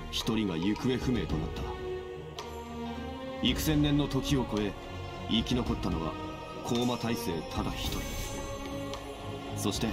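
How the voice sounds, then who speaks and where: A man acts a solemn teller of ancient legends in a game cutscene. His mid-pitched voice is grave and measured, with formal phrasing under very loud cinematic music. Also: natural voice. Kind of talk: formal scripted legend narration.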